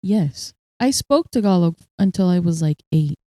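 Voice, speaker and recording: A young woman co-hosting a casual chat podcast, telling stories in an animated way and acting out what people said. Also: lowish voice, moderate pace, no room sound. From United States. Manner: storytelling, animated, acts out quotes. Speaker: podcast co-host.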